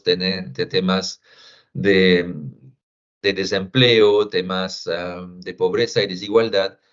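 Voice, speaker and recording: A man giving a business conference talk on consumer trends, speaking in a halting way with frequent pauses and restarts. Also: lowish voice, a bit bright, lo-fi sound. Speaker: market research presenter.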